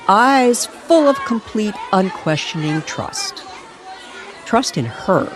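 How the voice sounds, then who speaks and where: A woman narrating a scripted mystery story dramatically, with deliberate, suspenseful phrasing. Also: medium pitch, noisy background.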